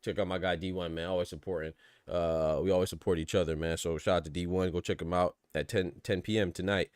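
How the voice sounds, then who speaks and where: A man's voice: deep voice, steady tone, quick pace, darkish tone, smooth, no echo, clean studio sound. A man from the United States hosting a boxing podcast, giving casual, opinionated livestream commentary in colloquial, conversational speech.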